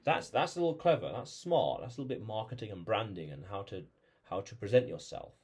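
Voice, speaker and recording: A man's voice: on the low side, expressive, brisk, darkish tone, husky. A man vlogging alone, thinking aloud about identity, with frequent restarts and repeated phrases. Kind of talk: reflective solo monologue.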